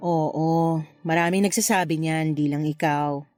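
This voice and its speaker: A woman playing a flirtatious, unapologetic married mother in a Tagalog radio love drama, with a playful, expressive delivery. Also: low voice, a little slow, soft background music. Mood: candid, defensive. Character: flirtatious married mother having a secret affair, breezily unapologetic.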